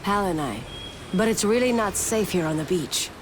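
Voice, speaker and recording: A woman acts out a practical survivor guide in a zombie island video game. She is brisk and matter-of-fact at a medium pitch, with noticeable outdoor ambience behind her. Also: lively intonation, natural voice. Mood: urgent, concerned. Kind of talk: video game cutscene acting. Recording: game audio with clear ambient noise.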